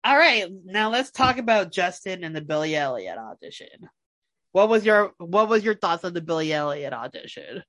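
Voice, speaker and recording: A man with a high voice co-hosting a casual podcast, hesitant and restarting sentences. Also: very animated, normal speed, bright, forceful tone. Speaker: podcast co-host. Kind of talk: casual chat.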